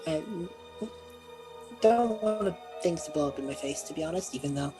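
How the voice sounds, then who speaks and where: A man playing a tabletop roleplaying game, improvising casually in character with hesitant, halting explanations. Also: on the high side, music in the background.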